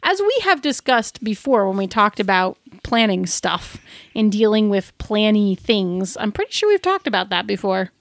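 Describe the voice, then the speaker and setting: A woman's voice: mid-range voice, big pitch swings, normal speed, no echo, clean studio sound. A woman co-hosting a podcast, giving advice in a casual, chatty, conversational way. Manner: informal, chatty. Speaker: podcast co-host.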